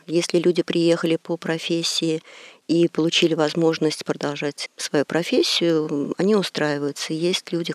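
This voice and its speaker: Measured Russian-speaking woman, dry sound. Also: on the low side, a little mellow, no echo. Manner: measured, reflective, some hesitations. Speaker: interview guest, court interpreter. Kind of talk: thoughtful interview answers.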